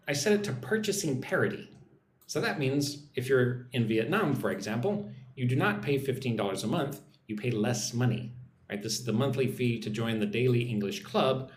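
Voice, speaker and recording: A man's voice: mid-range voice, reverberant. An American man teaching English on a live stream, reading out viewer comments and sounding out words for listeners to repeat. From United States. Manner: reads viewer comments aloud, models pronunciation slowly.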